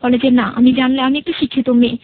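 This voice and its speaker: A woman's voice: sits high, level tone, fast talker, narrow, tinny audio. A young woman with a Bangladeshi accent, a guest telling her personal story conversationally and quoting reported dialogue.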